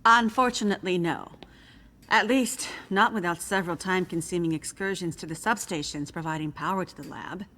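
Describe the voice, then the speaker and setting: A measured older scientist, lowish voice. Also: female voice, sharp tone. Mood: matter-of-fact. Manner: measured, formal, precise wording. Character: guarded, measured older woman scientist, mentor. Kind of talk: video game cutscene acting. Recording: faint music behind the voice.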